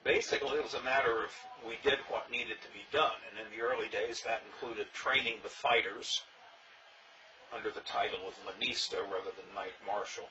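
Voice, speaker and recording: An older man, interviewed about his early days in a reenactment society, telling stories with dry, plainspoken humor and a loud voice. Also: lowish voice, fairly even intonation, fairly quick, far from the mic. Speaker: interviewee, former king of a historical reenactment society. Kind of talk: casual storytelling interview. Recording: dull sound, faint noise, from off mic.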